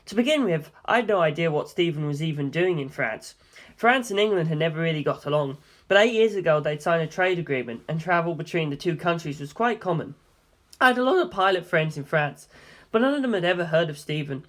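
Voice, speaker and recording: Narrator for first-person fiction, medium pitch, scripted audiobook read.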